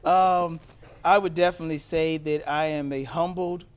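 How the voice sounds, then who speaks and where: A man with a fairly high voice speaks as an interview guest. He comes across as earnest and humble, putting emphasis on his points in a conversational way. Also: fairly even intonation, normal speed, clean tone. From Gulf Coast, United States. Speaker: talent manager, show guest.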